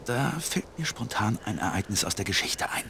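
An elderly village priest in a cartoon adventure game. The voice is low and very animated, with kindly, measured storytelling. Faint noise and crows sit behind it. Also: male voice, full character voice. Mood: scholarly storytelling. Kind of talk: scripted cartoon game dialogue.

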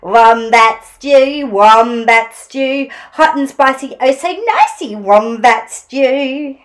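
A woman puts on a scheming male dingo from a children's storybook. He is high-pitched and wildly animated, stretching his words in a sing-song way. He sounds boastful and gleeful in his exclamations. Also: takes it slowly.

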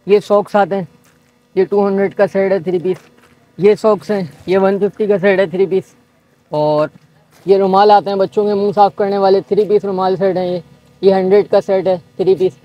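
Soft-spoken shopkeeper listing prices. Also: male voice, sits high, lo-fi sound. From Pakistan. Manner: patient, listing items one by one. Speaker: shop owner. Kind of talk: showing goods and quoting prices. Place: busy market shop indoors.

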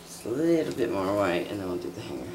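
A middle-aged woman chatting casually through a craft demonstration, low-key and half muttering to herself, recorded in a noisy room at home. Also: very animated, quick pace. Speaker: soap maker and host. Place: indoors at a home work table. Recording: noisy room, household background noise.

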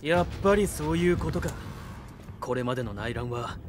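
An actor playing a young warlord in a video game, informal and reluctant yet resolute. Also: male voice, a little high, expressive, normal speed, noisy background, natural voice. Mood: weary, resolute. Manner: informal, laid-back yet earnest. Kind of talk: dramatic Japanese video game cutscene acting. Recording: studio voice over loud game music.

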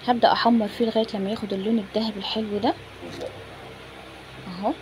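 Fast-talking Egyptian woman, noisy recording. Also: medium pitch, little pitch movement. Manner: chatty, informal, addressing viewers directly. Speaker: home cook presenting a recipe. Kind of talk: casual cooking tutorial narration. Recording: phone recording with clear background noise.

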